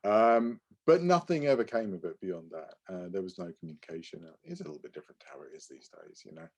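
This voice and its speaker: Low-voiced man chatting hesitantly. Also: lively intonation, quick pace. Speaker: podcast co-host. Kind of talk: casual discussion and anecdotes.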